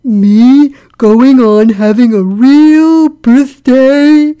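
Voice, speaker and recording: A woman voicing a gloomy, weary old donkey from a children's storybook, ponderous and mournful, with a sardonic, deadpan manner. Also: high voice, extremely slow, smooth, pitched above the performer's own voice. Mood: doubtful. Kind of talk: children's storybook character reading.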